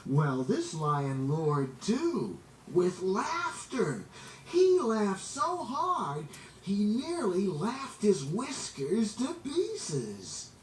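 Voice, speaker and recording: A man reading a children's story aloud in an expressive, dramatic way, drawing out words for emphasis. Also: on the high side. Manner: animated, dramatic, drawn-out emphasis. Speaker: storybook reader. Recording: roomy, distant, noisy amateur recording.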